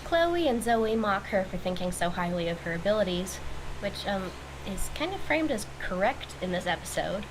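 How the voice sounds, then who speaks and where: A woman's voice: mid-range voice, very animated, normal speed. A woman giving wry, critical recap commentary on a cartoon, recorded with noise in the background. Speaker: video commentator reviewing a cartoon.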